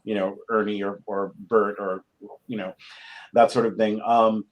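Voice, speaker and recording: Lower-voiced man, a guest explaining haltingly. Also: level tone, poor audio. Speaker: playwright, interview guest. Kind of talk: casual explanatory chat.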